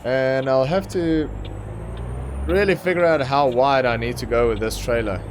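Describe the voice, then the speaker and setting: A young man with a mid-range voice, an Afrikaans speaker, chatting loosely as he drives in a trucking game. He rambles, fills gaps with ums and circles back to his point. A steady drone of truck engine and road noise sits clearly under his voice. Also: mid-range voice, moderate pace. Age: young adult. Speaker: gaming channel host. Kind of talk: casual gameplay commentary.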